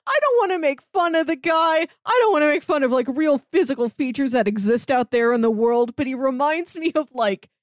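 A woman co-hosting a casual book discussion, telling things in a halting, conversational way with acted-out quotes. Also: high-pitched, animated, no echo, studio quality. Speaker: podcast co-host.

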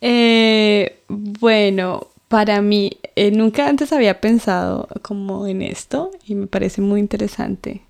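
A Colombian woman in her thirties speaks in a relaxed podcast conversation. Her voice is smooth and resonant with a gravelly edge, and her pitch stays fairly level. She pauses on fillers and laughs softly as she thinks aloud. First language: Spanish. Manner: thoughtful, with frequent fillers and soft laughs. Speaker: co-host. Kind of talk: casual podcast chat.

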